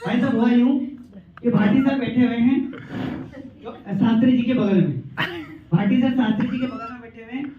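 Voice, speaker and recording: A man's voice: very high-pitched, fairly even intonation, moderate pace, long pauses, slight background noise. A man giving a playful, mock-lecturing humorous speech to colleagues, repeating phrases for comic effect. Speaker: training participant performing a humorous recap. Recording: distant, echoing hall recording, slightly muffled.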